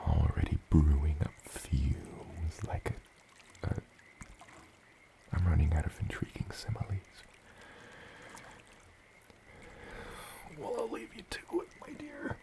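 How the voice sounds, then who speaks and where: A man voicing a gentle, doting father dragon in an ASMR roleplay, murmuring slowly and tenderly and often dropping to a whisper. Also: huge pitch swings, full character voice. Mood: fond, hushed. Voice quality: very deep, gravelly. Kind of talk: ASMR roleplay, addressing the listener as his child.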